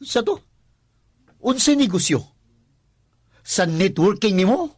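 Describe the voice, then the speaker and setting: An actor with a Cebuano Filipino accent playing an anxious, stammering working-class husband in a radio drama. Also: male voice, sits high, big pitch swings, slow, no echo, studio quality, exaggerated voice. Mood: exasperated. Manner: stammering, hesitant. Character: anxious working-class condo worker, husband worried about wife's debts.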